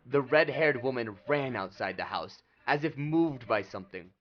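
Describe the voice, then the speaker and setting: A man's voice: mid-range voice, lively intonation, moderate pace, highs cut off. A man giving scripted dramatic narration as the narrator of a story.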